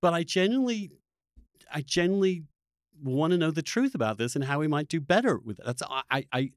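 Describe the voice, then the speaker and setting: A man's voice: a little high, very animated, a little fast, no room sound, studio quality. A man hosting a conversational interview, earnest and emphatic, often repeating words and restarting as he gets worked up. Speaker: podcast host interviewing a guest.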